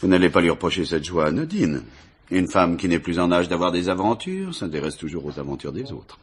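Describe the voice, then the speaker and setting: An actor playing a polite, tactful friend of the family in a French radio mystery play, courteous and measured. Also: male voice, deep voice, big pitch swings, breathy edge, exaggerated voice. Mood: soothing.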